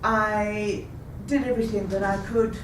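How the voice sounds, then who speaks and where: Woman, fifties, rehearsed personal story, unhurried, recorded far off in a roomy hall with audible background noise. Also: mid-range voice, steady tone. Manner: measured, deliberate delivery. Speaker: speaker. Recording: echoey room, taken from a distance.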